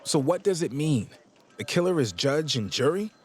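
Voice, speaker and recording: A man's voice: fairly high pitch, lively intonation, moderate pace, slightly rough. A man playing an earnest, eager young police officer in a video game, urgent and clipped in his speech. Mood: curious. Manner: urgent, clipped radio talk. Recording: loud music behind the voice.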